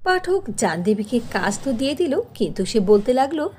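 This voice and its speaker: A woman narrating a cartoon story in an expressive storytelling manner. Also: on the high side, normal speed, slight background noise.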